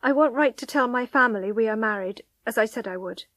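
A woman's voice: on the high side, a little fast, a bit bright, no room sound, studio quality, close to an everyday voice. A woman voicing a distraught, devoted young wife in a dramatic story, pleading and tearful. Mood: helpless, submissive. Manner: pleading, breathy whispers rising to anguished cries.

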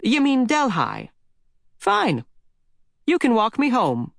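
A man voicing a brisk, nosy little old countrywoman who orders a boy around. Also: very high voice, wildly swooping pitch, gravelly, a bit bright, dry recording, studio quality, pitched above the performer's own voice, heavily acted.

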